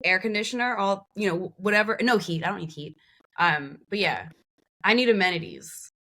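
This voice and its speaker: A woman's voice: medium pitch, expressive, normal speed, bright, forceful tone. A woman from Hong Kong, a band member guesting on a podcast, talking wryly and laughing often. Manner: wry, self-deprecating, laughs often. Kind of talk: casual interview chat.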